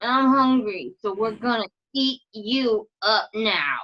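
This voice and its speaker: Creepy hungry child creature: high, slow, menacing, on a dull home recording. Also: female voice, expressive, a bit bright, full character voice. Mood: menacing hunger. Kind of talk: radio drama acting.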